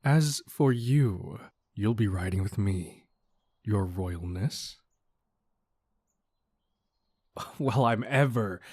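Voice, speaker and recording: A man's voice: fairly low pitch, a little mellow, no echo. A man playing a cocky but considerate bandit leader in an audio roleplay, talking to the listener in a casual, folksy, wryly mock-courteous way. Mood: sarcastic.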